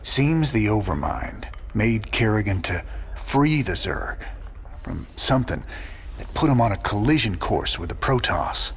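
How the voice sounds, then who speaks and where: An actor playing a gruff, world-weary space rebel commander in a video game, terse. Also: male voice, lowish voice, big pitch swings, unhurried, slight background noise. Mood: troubled. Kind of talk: video game cutscene acting. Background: music.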